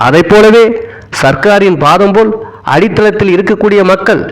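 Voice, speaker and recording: A man reading a formal political speech aloud in a stately way, in short, measured phrases. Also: high-pitched, low-quality recording. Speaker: speech reader.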